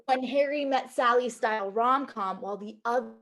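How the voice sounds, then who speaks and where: A woman playing an ambitious, quick-thinking executive assistant in a table read, speaking in an earnest, persuasive way. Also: fairly high pitch, a bit bright. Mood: eager pitching. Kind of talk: acted screenplay table read.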